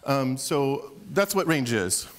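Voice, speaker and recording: A man's voice: medium pitch, slow pace. Middle-aged man lecturing on a stage. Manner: relaxed, chatty, with fillers and small laughs. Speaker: opera professor giving a lecture. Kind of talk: public lecture with demonstrations. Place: concert hall stage.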